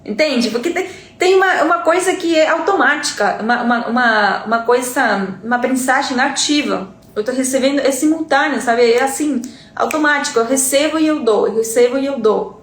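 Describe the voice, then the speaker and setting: A woman's voice: high voice, animated, a little fast, reverberant. A Uruguayan woman, a native Spanish speaker with a Uruguayan accent, teaching in an earnest live-stream lesson. She often repeats words and checks in with her listeners.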